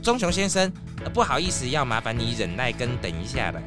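A man's voice: medium pitch, sing-song, fairly quick, natural voice. A polite, professional paramedic acted by a man in a children's radio play. His mid voice moves animatedly over prominent music. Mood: apologetic. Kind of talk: children's audio drama acting.

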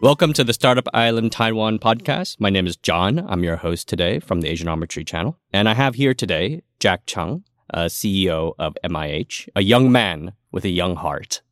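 A man's voice: sits low, animated, normal speed, hardly any pauses, fairly bright tone, dry recording, clean studio sound, soft background music. A man hosting a podcast, asking questions in a casual interview style.

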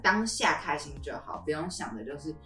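Podcast co-host: young woman, low voice, lively intonation, casual, roomy and slightly distant. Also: brisk, faint music behind. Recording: roomy, echoey, off the mic.

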